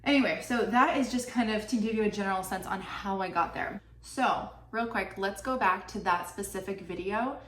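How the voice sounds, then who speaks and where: A woman's voice: medium pitch, normal speed, hardly any pauses, a bit bright. A young woman vlogging casually about her channel earnings, chatty and full of "like", sounding stuffed up from a cold. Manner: chatty, peppered with "like" and "you know". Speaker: YouTuber sharing channel earnings. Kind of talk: casual talking-head vlog. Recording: roomy, slightly distant mic, faint background hiss.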